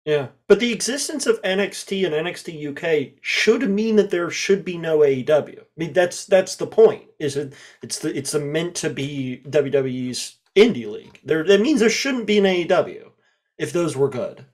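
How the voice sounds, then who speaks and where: A man's voice: fairly high pitch, lively intonation, moderate pace. A man hosting a podcast interview, asking long, rambling questions full of fillers in an eager way. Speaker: podcast host. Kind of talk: casual interview talk.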